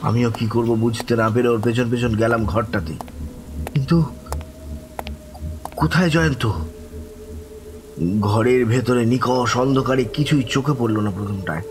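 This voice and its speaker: A man playing a terrified, distraught survivor who tells his ghost story in a halting, dramatic way. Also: medium pitch, over music, lightly acted. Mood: panicked, pleading. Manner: halting, dramatic, repeating words, breaking into desperate cries. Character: terrified, distraught man recounting a haunting he lived through. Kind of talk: dramatized first-person horror narration.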